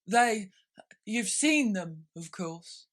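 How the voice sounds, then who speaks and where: A woman acts out a sorrowful male turtle creature from a storybook, pitched high. He is solemn and wistful, yet readily swells into excitable exclamation. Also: very slow. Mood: explanatory.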